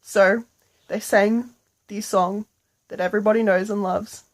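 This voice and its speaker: A woman's voice: medium pitch, steady tone, unhurried. A woman telling an improvised bedtime story in a casual, playful, slangy way.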